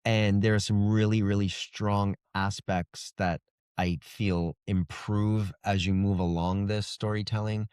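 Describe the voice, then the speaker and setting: Casting: man, middle-aged, slightly deep voice, casual storyteller guest, thoughtful, recorded without room echo. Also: flat delivery, measured pace, darkish tone, clear, resonant tone, clean studio sound. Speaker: fantasy author, interview guest.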